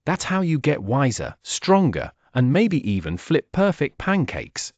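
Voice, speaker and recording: A man's voice: fairly high pitch, huge pitch swings, a little slow, a little mellow, no room sound, dull audio. A man narrating a scripted children's story as a read-aloud.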